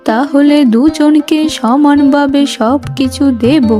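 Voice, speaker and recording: A woman voicing a stern, unyielding elderly mother-in-law in a cartoon, deliberate and admonishing. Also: sits high, steady tone, warm tone, faint music behind. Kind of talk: cartoon voice acting.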